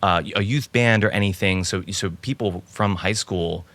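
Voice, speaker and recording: Podcast host, man about 40, very low pitch; relaxed, easygoing questions. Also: normal speed, no room sound. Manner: frequent short affirmations, relaxed questions. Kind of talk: casual interview.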